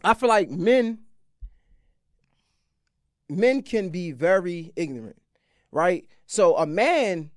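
A man's voice: sits high, very animated, takes it slowly, pauses often, dry recording, clean studio sound. A man in his thirties talking casually and slangily as a podcast interviewer, often repeating words as he forms his points. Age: 30s.

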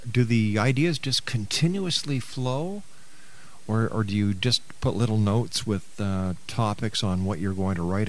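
A middle-aged man with a fairly low voice works as a radio talk show host. He introduces and questions his guest in an avuncular manner. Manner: avuncular, uses pet names for the guest.

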